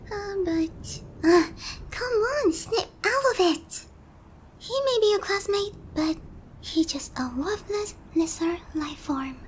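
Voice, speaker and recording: A woman's voice: very high-pitched, animated, slow pace, a little hiss or hum, full character voice. A woman voicing a haughty, flustered, cutesy young dragon girl in an anime ASMR roleplay, condescending yet hesitant. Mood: haughty, urging herself. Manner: condescending, hesitant with giggles and murmured asides. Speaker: voice actor.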